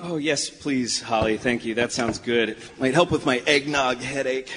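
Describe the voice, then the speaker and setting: An actor playing an awkward, weary grown son in a radio play, halting and wry. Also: male voice, mid-range voice, fairly even intonation, crowd noise, lightly acted. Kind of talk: conversational radio play acting. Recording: reverberant hall, faint noise.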